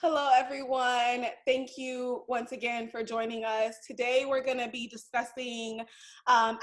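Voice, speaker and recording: Panel host, woman from Nigeria, measured delivery with um fillers, voice a little high. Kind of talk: panel discussion.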